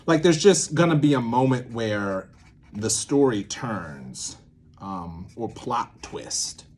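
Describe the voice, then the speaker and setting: A man's voice: medium pitch, expressive, takes it slowly, darkish tone, a little hiss or hum. A man giving an informal, improvised tutorial, rambling playfully and thinking aloud with asides to himself. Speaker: theatre maker presenting a creative method series.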